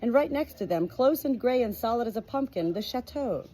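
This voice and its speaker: A woman reading a storybook aloud in an expressive amateur style, with casual asides, recorded on a phone at home. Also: a little high, brisk, soft background music, rough recording. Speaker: amateur book reader, channel host.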